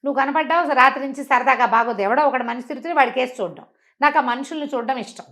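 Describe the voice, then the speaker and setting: A woman's voice: sits high, rapid-fire, a bit bright. A woman voicing a friendly, chatty ghost who is bored and curious about people, in an oral bedtime folktale. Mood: eager, bargaining.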